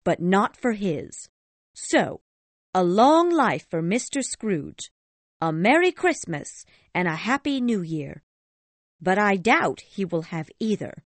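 A woman's voice: mid-range voice, big pitch swings, slow pace, a little mellow, no room sound. A bitter, scornful poor mother. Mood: grudging. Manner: indignant, scornful. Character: harried poor mother, sharp-tongued and bitter. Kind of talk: audiobook character voice.

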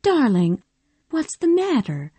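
A woman voicing a gentle, devoted young wife and mother in a storybook reading, with a soft, tender manner. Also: fairly high pitch, very animated, slow pace, dry recording, pitched above the performer's own voice, full character voice. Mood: concerned. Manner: soft and gentle, growing firm.

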